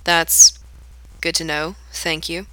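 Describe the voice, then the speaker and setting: A nervous young man keeps his voice calm and steady, sounding hesitant and subdued, as a woman reading aloud voices him. The voice is bright, a little low-pitched, and recorded close and dry. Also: slow, smooth, no room sound, heavily acted. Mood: wary.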